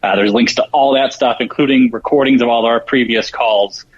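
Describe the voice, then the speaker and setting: A man's voice: mid-range voice, fairly even intonation, normal speed. A man talking as a guest, casually explaining what resources his program offers, over dull call audio. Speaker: show guest, program organizer. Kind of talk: casual informational talk.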